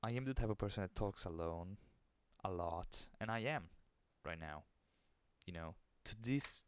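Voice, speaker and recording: A man with an Italian accent hosting a podcast alone, keeping his voice low and soothing, with an occasional stutter into the microphone. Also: lively intonation, unhurried, clear, resonant tone, no echo. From Italy. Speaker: podcast host. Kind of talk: casual solo monologue.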